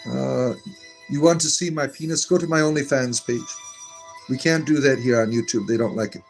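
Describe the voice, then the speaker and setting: A man's voice: medium pitch, moderate pace, gravelly, faint music behind. A man hosting a live stream, reading viewer comments aloud and answering them in a meandering, chatty way. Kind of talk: casual live-stream chat.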